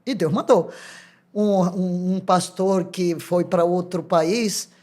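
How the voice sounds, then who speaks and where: Older female pastor, Brazilian Portuguese accent, animated storyteller who breaks into laughter and acts out the voices in her stories. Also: on the low side, slow. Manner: animated, laughs often, acts out quoted dialogue. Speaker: pastor, podcast guest. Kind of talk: testimony storytelling.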